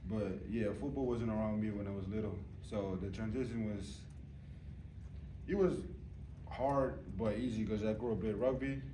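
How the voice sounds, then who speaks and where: Young man from Tonga, twenties, lowish voice, halting media answers, echoey room picked up from a distance. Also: slight background noise. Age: 20s. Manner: relaxed, halting, with many fillers. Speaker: college football player. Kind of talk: press conference answers. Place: press room.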